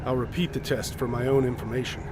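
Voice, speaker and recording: Radio drama: male actor as practical scientist-leader, reasoned and wry, medium register, noisy rumble underneath. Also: little pitch movement, fast talker, lightly acted. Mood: measured. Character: level-headed male scientist taking command, wry and practical.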